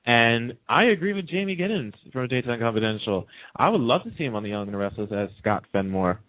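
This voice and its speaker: A man chatting casually as a radio guest in a hesitant, measured way. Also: medium pitch, lively intonation, no echo. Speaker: radio personality as interview guest. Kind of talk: casual chat about television. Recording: dry, thin phone line.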